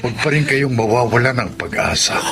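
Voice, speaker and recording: An actor in a Filipino radio drama playing a sympathetic, pious man, speaking in a gentle, solemn, consoling way. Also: mid-range voice, expressive, fairly quick, noisy background, close to an everyday voice. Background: loud music.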